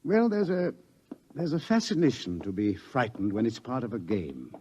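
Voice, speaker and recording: An actor playing an authoritative middle-aged scientist who lectures deliberately and ominously about coming doom, in an old radio drama style. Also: male voice, mid-range voice, very animated, a little mellow, breathy edge. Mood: darkly wry. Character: authoritative older male scientist explaining impending doom. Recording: dull lo-fi old radio recording.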